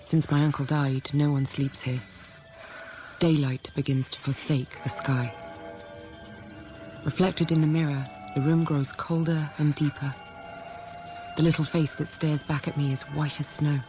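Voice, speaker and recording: Sombre first-person narrator, grave and measured, literary radio drama over clear music. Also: female voice, deep voice, fairly even intonation. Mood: bleak, brooding. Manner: measured, sombre, short phrases. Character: grave, introspective woman narrating her bleak childhood.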